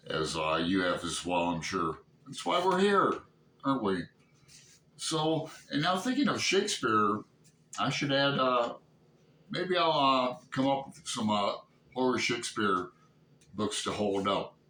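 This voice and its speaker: A middle-aged or older man with a Midwestern American accent, chatting casually to viewers in a halting, digressive, self-questioning way. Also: medium pitch, sharp tone. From Toledo, Ohio, United States. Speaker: book vlogger hosting his channel. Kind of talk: casual rambling chat to viewers. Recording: echoey room, clear background noise.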